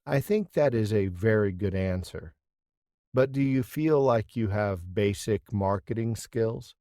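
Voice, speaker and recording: Low-voiced man asking steady interview questions. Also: unhurried, no echo, clean studio sound. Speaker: interviewer in a practice dialogue. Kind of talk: scripted dialogue reading.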